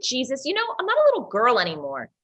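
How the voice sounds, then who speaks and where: A woman's voice: high voice, wildly swooping pitch, fast, fairly bright tone, exaggerated voice. A woman playing a sullen, sarcastic younger sister, snide and defiant, in a play reading. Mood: scornful. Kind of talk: dramatized play script reading.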